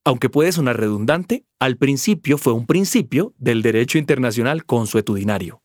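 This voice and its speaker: A man playing a lawyer who patiently explains migrants' rights in a measured, formal way. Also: medium pitch, animated, no room sound, clean studio sound. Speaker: lawyer at a public rights office. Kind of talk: scripted explanatory radio drama.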